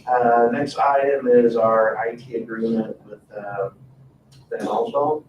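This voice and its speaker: A man presenting agenda items informally at a council meeting, in a halting, matter-of-fact way. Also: medium pitch, steady tone, lo-fi sound. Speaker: town staff member presenting agenda items. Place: indoor town council meeting room. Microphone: distant room microphone. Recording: echoey meeting room, distant mic, faint noise.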